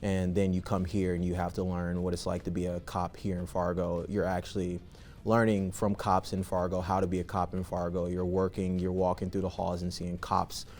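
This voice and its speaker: A man's voice: low voice, completely flat delivery, normal speed, darkish tone. A man, a police academy recruit, describing training in a matter-of-fact way. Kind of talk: interview answers.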